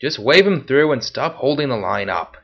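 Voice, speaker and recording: A man's voice: medium pitch, sing-song, creaky, voice pushed up. A man voicing an impatient, level-headed male guard who speaks firmly. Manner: brisk and firm. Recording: dull lo-fi recording.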